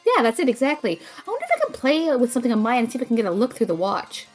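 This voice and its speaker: Woman acting as sardonic magical sidekick woman, slightly high, animated pitch, teasing, precise, fantasy audio drama. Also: rapid-fire, soft background music. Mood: dry teasing.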